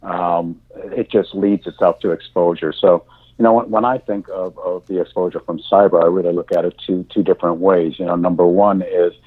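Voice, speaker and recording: A deep-voiced man whose first language is German, talking slowly through his answers with a lot of ums and restarts. His voice is husky and comes through a thin, phone-like line. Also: steady tone. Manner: halting, many fillers and restarts. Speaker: insurance industry guest. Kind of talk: podcast interview answers.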